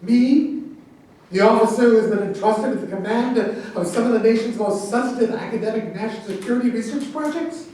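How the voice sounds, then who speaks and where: A man's voice: extremely high pitch, brisk, warm tone. A man in a staged reading playing a self-important, pompous officer in charge of secret research. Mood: indignant. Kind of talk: theatrical staged reading of a satirical play. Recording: very echoey room, distant mic.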